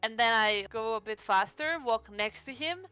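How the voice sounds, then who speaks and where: A woman's voice: on the high side, expressive, moderate pace, pushed and bright, clean tone, rough recording. A woman playing a tabletop roleplaying game, chatting casually and describing what her character does. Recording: music behind the voice.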